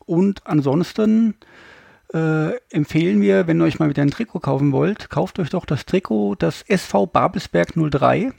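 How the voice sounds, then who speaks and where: A man's voice: fairly high pitch, normal speed, gravelly, a little mellow, breathy edge, no room sound. A man hosting a podcast, chatting in a colloquial, informal way. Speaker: podcast host. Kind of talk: casual chat.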